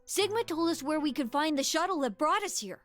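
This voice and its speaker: Game casting: polite, eager youngster, high voice, slightly unsure, light music. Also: female voice, animated, brisk. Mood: eager, earnest. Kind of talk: video game voice acting.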